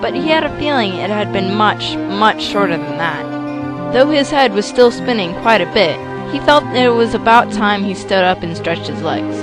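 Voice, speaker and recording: Woman narrator over clear music. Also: mid-range voice, animated, moderate pace, poor audio. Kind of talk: scripted dramatic storytelling narration.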